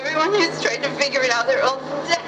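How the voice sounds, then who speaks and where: An actress playing a desperate, grief-stricken woman in a horror game, pleading haltingly and breaking off mid-sentence. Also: natural voice. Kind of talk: dramatic horror game voice acting. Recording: distant, very noisy tape with rumble.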